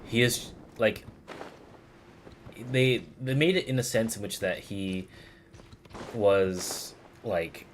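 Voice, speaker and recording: A man livestreaming a game, chatting casually in a rambling, halting way as he searches for words. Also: fairly low pitch, background noise. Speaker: game streamer. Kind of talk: casual livestream commentary, replying to chat.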